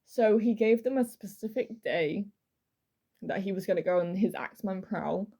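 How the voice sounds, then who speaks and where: A woman's voice: mid-range voice, moderate pace, warm tone. A woman co-hosting a true crime podcast, chatting casually and informally as she tells the story, leaning on repeated words for emphasis. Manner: informal, emphatic repetition for effect.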